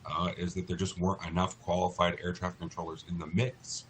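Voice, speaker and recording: Male, American, low voice. Articulate expert guest in an interview, explanatory and measured, with background noise. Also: little pitch movement. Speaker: interview guest, lawyer in a class action.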